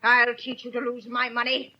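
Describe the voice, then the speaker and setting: A high, piercing shrewish innkeeper's wife from 1930s radio drama. She bullies underlings and fawns on paying guests, all in a forceful tone on a dull vintage recording. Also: completely flat delivery, moderate pace. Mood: scolding, harsh.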